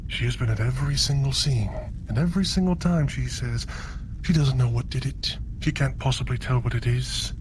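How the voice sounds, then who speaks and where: A man's voice: mid-range voice, fairly quick, darkish tone, close to an everyday voice. Man playing a brooding, suspicious townsman over background noise. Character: troubled, suspicious male townsman hunted by supernatural terror. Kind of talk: improvised in-character virtual reality roleplay dialogue.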